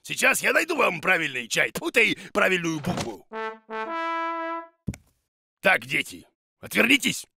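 An actor voicing an excitable, bossy, bumbling monster teacher in a children's cartoon, with a blustering, theatrical delivery. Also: medium pitch, unhurried, vocal fry, long pauses. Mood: indignant. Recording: music behind the voice.

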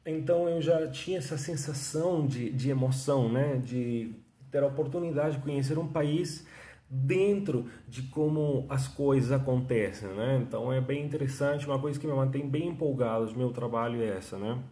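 Venezuelan-accented man, 30s, relaxed mellow tone, chatty self-interview vlog, echoey home room. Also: mid-range voice, moderate pace. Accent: Venezuelan Spanish. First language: Spanish. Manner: chatty, restarts often, frequent tag questions. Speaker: freelance artist telling his story. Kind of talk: casual self-interview monologue. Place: small room at home, indoors. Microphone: phone camera at arm's length.

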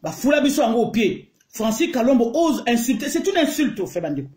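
Angry congolese lady venting on her phone, kinda high voice, echoey little room. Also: sing-song, brisk, darkish tone. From Congo (DRC). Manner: indignant, emphatic, mixes French and Lingala. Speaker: online commentator. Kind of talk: heated video rant. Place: small room indoors. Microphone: phone held close to the face.

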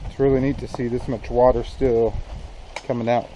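A relaxed, chatty man in his thirties walks a mountain creek bed and talks straight to his viewers, filming himself on a handheld action camera. His voice sits at an ordinary middle pitch. Rushing spring water and gusts of wind come through clearly behind him. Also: poor audio. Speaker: outdoor vlogger. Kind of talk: casual walking commentary to camera. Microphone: action camera held at arm's length. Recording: outdoor camera audio with clear stream and wind noise. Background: running water and wind.

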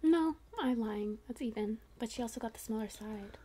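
A young woman hosting a soft-spoken eating video, chatting quietly and often in a whisper, recorded on a couch at home. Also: on the high side, normal speed, husky. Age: young adult. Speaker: channel host. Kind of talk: soft-spoken mukbang chat. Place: living room couch, indoors.

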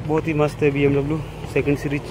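A man's voice: on the high side, fast, over music. A man casually narrating a walkaround vlog at an outdoor car expo, filming on a handheld phone. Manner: relaxed, plain commentary while walking. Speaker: vlogger. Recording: noisy outdoor phone recording.

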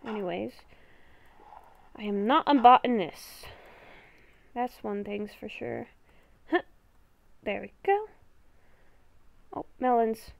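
Slow, high-voiced woman gaming. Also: animated, creaky, no echo. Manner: talks to herself in short, halting phrases. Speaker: gamer recording a roleplay series. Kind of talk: casual gameplay commentary.

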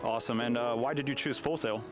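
A young man hosting street interviews on a college campus, asking brisk, upbeat questions into a handheld mic. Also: mid-range voice, fairly even intonation, music in the background. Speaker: interviewer and host.

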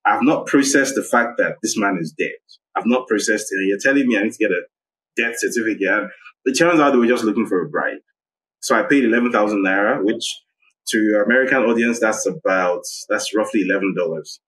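A man in his thirties from Nigeria, speaking with a fairly low voice. He tells his story slowly and reflectively, circling back and restarting phrases as he works through it. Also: fairly bright tone, a little hiss or hum, low-quality recording. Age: 30s. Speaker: guest, investigative journalist. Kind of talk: personal account in interview.

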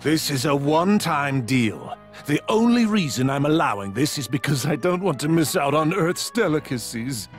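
A man's voice: fairly high pitch, big pitch swings, normal speed, faint music behind. A man voicing a haughty, sardonic cat-like god of destruction in a video game, imperious and condescending. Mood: stern, condescending.

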